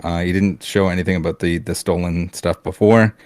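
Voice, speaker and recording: Brief: low-pitched Canadian man, blunt casual podcast co-host, dry close sound. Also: fairly even intonation, fairly quick, a little mellow, no echo. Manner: blunt, heated ranting with swearing and restarts.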